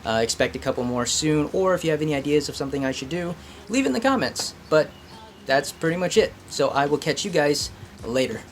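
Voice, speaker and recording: A man's voice: a little high, expressive, a little fast, a little hiss or hum. A man hosting a hobby craft video, chatting informally and explaining things in a self-deprecating way. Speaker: host of a craft and painting video. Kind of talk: casual chat, explaining a hobby project.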